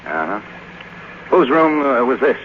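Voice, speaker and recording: A man's voice: medium pitch, sing-song, measured pace, a little hiss or hum. An actor playing a cynical, world-weary kept man, telling his story wryly in a 1950s radio drama. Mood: curious, skeptical. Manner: wry, world-weary, hard-boiled. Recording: dull 1950s radio broadcast recording.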